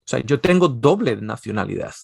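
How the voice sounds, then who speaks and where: Middle-aged Spanish-accented man, seminar speaker, gravelly voice, dry close sound, lively and rambling. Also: mid-range voice, brisk, no echo. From Spain, Basque Country. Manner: animated, digressive, many restarts and stammers. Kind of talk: religious seminar talk.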